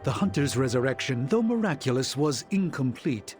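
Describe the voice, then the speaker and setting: An actor playing a pompous, exacting and erudite sorcerer in a video game, theatrical and precise. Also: male voice, mid-range voice, very animated, normal speed, faint music behind, lightly acted. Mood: exacting, testy. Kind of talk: video game cutscene acting.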